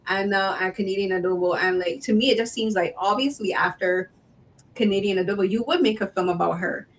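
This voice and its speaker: A woman's voice: medium pitch, brisk, slight background noise, low-quality recording. A woman hosting a podcast interview, chatty and enthusiastic with lots of fillers. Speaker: podcast host. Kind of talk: casual interview.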